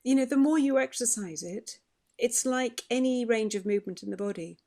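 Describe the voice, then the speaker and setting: A woman's voice: fairly high pitch, a little fast, mellow. A woman who is a vocal health expert, explaining singing advice in a chatty way during an online question session. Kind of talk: online forum Q&A.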